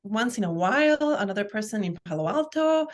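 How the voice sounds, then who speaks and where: A woman's voice: mid-range voice, lively intonation, a little fast. A woman from Guatemala, telling her story conversationally as an interview guest, in an enthusiastic, emphatic way. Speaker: guest, family constellations practitioner and life coach.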